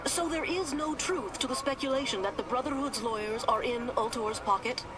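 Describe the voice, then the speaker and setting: A woman playing a brisk, formal, inquisitive television news reporter in a video game cutscene. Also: fairly high pitch, lightly acted. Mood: probing. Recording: noisy outdoor street background.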